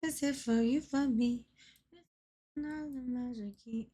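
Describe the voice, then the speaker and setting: Young woman livestreamer, slightly hoarse, a bit high-pitched, giggly, rambling, filler-heavy casual chat. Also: steady tone, a little slow, dark tone. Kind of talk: casual chat while gaming.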